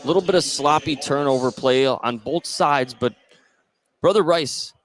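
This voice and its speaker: A man's voice: mid-range voice, moderate pace. A man doing live basketball radio play-by-play, narrating each play in short phrases. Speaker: play-by-play commentator.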